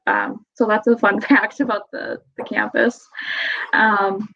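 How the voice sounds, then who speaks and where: Young woman, Wisconsin accent, giggly and chatty, bright higher voice, dull lo-fi recording. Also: level tone, takes it slowly, gravelly. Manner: giggly, chatty, lots of fillers and laughs. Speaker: podcast guest. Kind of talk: casual interview chat. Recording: dull lo-fi sound.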